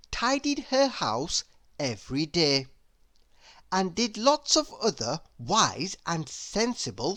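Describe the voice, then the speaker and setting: A woman reads a children's storybook aloud at a very unhurried pace. Her voice is on the low side, with lively rises and falls through the scripted narration. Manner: measured, expressive read-aloud delivery. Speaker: storybook reader.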